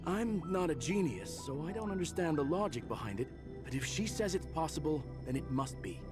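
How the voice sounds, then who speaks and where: Earnest, higher-pitched researcher. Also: male voice, very animated, fairly quick. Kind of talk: video game voice acting. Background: game music.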